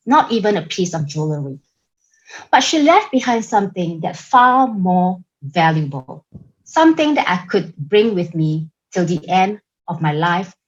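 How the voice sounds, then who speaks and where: Singaporean woman giving a club speech on a video call, slow and careful, lowish voice, kinda muffled audio. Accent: Singaporean English. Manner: slow, deliberate speech delivery with emphatic rises. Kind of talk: prepared Toastmasters speech.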